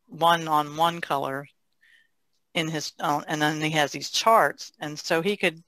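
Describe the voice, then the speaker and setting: A woman's voice: sits low, fairly bright tone, no room sound. An older woman, a painter, casually explaining her craft in conversation. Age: older, about 60s. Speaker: painter, podcast guest.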